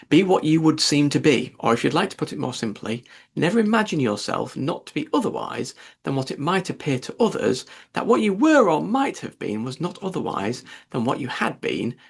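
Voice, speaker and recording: A man's voice: fairly high pitch, very animated, normal speed, gravelly, slightly rough, exaggerated voice. A man voicing a fussy, agreeable Duchess in a storybook, wordy and pleased with her own morals. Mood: pleased, moralizing.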